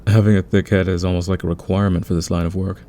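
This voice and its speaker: A man playing a calm, friendly veteran thief in an ASMR audio roleplay, speaking in a patient, soothing, conversational way. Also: low-pitched, quick pace. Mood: friendly, easygoing. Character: calm, friendly veteran male thief smoothing over team tensions.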